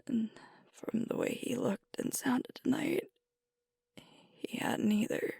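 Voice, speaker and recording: A woman playing a sweet, vulnerable alpha werewolf girlfriend in an intimate ASMR roleplay, speaking softly and hesitantly as she grieves. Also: fairly high pitch, steady tone, no echo, clean studio sound, exaggerated voice. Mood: wistful, hurting. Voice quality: soft, breathy, often whispered. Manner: slow, hesitant, confiding, with pauses.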